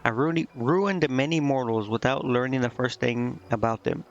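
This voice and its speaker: A man voicing an earnest, naive female succubus from a video game, musing and halting as he reads her lines. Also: mid-range voice, muffled recording, faint music behind, lightly acted. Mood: remorseful. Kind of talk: reading video game dialogue aloud.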